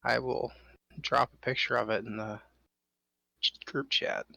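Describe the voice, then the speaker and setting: Bright, gravelly man chatting casually. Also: medium pitch, level tone, unhurried, dry recording. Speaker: tabletop game player. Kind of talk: casual chat.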